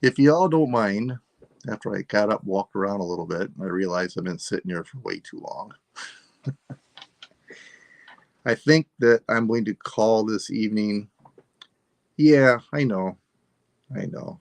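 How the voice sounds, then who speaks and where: Older man in his sixties, gravelly, losing his voice, rambling, dry close sound. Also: fairly low pitch, dry recording. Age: 60s. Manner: relaxed, rambling, self-deprecating. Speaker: live sale host. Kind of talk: casual live stream sign-off.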